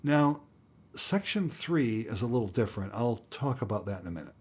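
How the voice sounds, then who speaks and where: A man's voice: medium pitch, lively intonation, moderate pace, a little mellow, slightly rough, lo-fi sound. A man narrating an explanatory tutorial as an instructor, plain-spoken and going through things step by step. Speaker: amateur radio instructor, video host.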